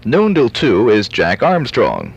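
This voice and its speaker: A man's voice: mid-range voice, huge pitch swings, slow, gravelly. A man narrating a scripted radio presentation as an announcer. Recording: dull 1970s tape, music behind. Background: music.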